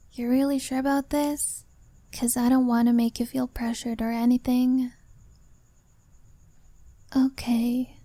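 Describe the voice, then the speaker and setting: A woman voicing a shy young woman who confesses her feelings to a younger friend, speaking softly and often in a whisper. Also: sits high, no room sound, full character voice. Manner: soft, hesitant, often whispered. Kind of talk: ASMR-style roleplay monologue.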